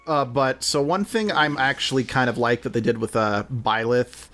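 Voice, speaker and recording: A man's voice: medium pitch, steady tone, moderate pace, fairly bright tone, faint music behind. A man giving rambling, opinionated commentary in a casual gaming chat. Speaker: let's play commentator.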